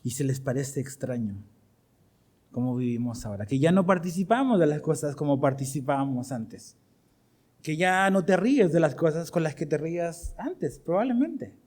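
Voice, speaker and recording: A man's voice: a little high, animated, moderate pace, darkish tone. A middle-aged man with a Chilean accent preaching to his congregation as pastor, asking them questions as he goes. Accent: Chilean Spanish. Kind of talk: sermon.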